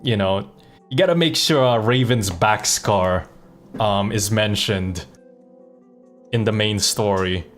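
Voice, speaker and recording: A man with a low voice talks casually as he streams a game, with music playing behind him. He rambles along, thinking aloud as he goes. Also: takes it slowly, pauses often, a bit bright. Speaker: live streamer.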